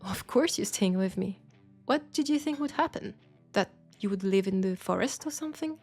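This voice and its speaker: A woman's voice: mid-range voice, lively intonation, normal speed, darkish tone, faint music behind. A woman with a French accent playing a teasing, nurturing witch who tames werewolves, chatting playfully and coaxingly to the listener in an audio roleplay. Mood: gentle, reassuring. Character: teasing, nurturing female witch, self-styled werewolf tamer.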